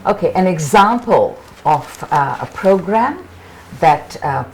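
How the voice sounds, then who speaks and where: A woman giving a seminar lecture in short, measured phrases, pausing for her interpreter. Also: fairly low pitch, expressive, a little mellow, a little hiss or hum, rough recording. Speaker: guest lecturer.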